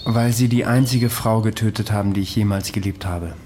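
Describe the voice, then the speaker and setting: A man's voice: lowish voice, fairly even intonation, brisk, dark tone, a little hiss or hum, lightly acted. Man playing a matter-of-fact, self-assured ex-detective. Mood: matter-of-fact, brooding. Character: unrepentant male storyteller, ex-private detective, self-assured killer. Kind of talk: radio drama acting.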